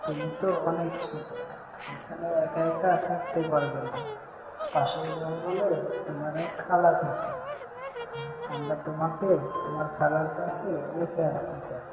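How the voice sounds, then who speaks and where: A performer playing a gentle, protective pigeon uncle in a children's cartoon, consoling and explaining things. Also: medium pitch, measured pace, natural voice. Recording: very echoey long reverb, music behind.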